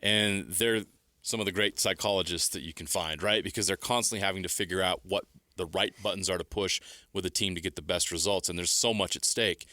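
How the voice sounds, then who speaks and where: A man's voice: sits low, fairly even intonation, a little fast, pushed and bright, no room sound. A man hosting a sports talk show, conversationally laying out his observations before putting a question.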